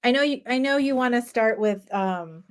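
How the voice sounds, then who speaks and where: A woman from Japan hosting a relaxed conversational chat, talking loosely with frequent ums. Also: fairly high pitch, moderate pace. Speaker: host.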